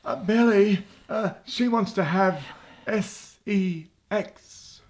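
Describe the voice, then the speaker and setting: A woman playing an exasperated mother of grown children in a comic radio soap, halting, dry and melodramatic. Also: mid-range voice, darkish tone.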